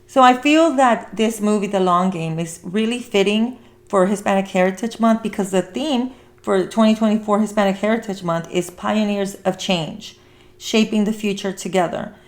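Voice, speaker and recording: A woman's voice: mid-range voice, normal speed. Woman podcast host chatting earnestly. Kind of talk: casual conversational movie discussion.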